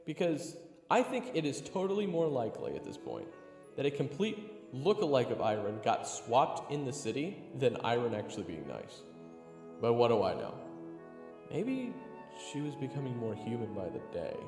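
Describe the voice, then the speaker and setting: A man playing an excitable, sarcastic young adventurer who tells his story in a fantasy audio roleplay. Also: mid-range voice, moderate pace, reverberant, big-room sound, faint music behind. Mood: snarky. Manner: expressive, sarcastic, jumpy. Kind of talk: first-person narration and audio roleplay acting.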